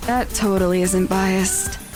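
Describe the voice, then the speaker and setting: A woman's voice: mid-range voice, fairly even intonation, takes it slowly, lightly acted. A woman playing a cheeky, sarcastic, stubborn teenage girl in an audio drama. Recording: music bed under the voice.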